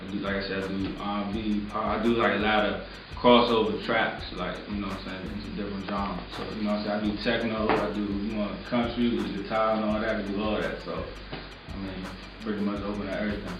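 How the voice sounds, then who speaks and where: A man's voice: lowish voice, little pitch movement, fast talker, over music. Laid-back young man, echoey distant studio mic. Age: 20s. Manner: laid-back, filler-heavy, lots of "like" and "you know what I'm saying". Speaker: music producer, guest. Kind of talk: casual interview answers. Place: small recording studio.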